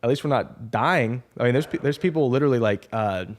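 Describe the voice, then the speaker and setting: A man's voice: mid-range voice, a little fast. A man in his twenties hosting a casual podcast intro, rambling and upbeat with lots of restarts. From Alabama. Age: 20s. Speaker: podcast host.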